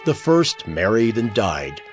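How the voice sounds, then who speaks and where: An actor playing a male religious sceptic in a scripture drama, with a theatrical, deliberate way of talking. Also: on the low side, big pitch swings, faint music behind. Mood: pointed questioning. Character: Sadducee, male religious sceptic posing a legal riddle.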